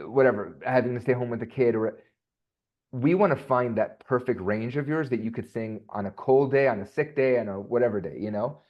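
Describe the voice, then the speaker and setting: A male voice coach guest in a casual podcast interview, talking in an animated, rambling way with lots of fillers. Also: mid-range voice. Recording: dull lo-fi sound.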